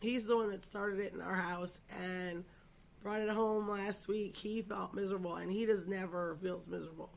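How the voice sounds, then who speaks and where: A woman hoarse from a cold, her voice raspy and scratchy. She talks to her viewers at home in a loose, rambling way, full of fillers and apologies. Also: mid-range voice, fairly even intonation, normal speed. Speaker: crochet channel host. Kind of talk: casual chat to camera.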